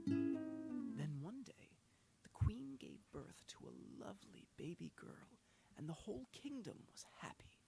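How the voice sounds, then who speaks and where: A man narrating a children's fairy tale in a soft, hushed, breathy reading. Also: a little high. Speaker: storyteller. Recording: loud guitar music behind. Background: loud plucked guitar music.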